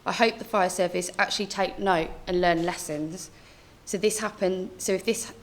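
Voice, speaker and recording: A woman's voice: fairly low pitch, steady tone, moderate pace. A woman, a bereaved relative, reading a prepared personal statement at a media briefing. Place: room at a press briefing.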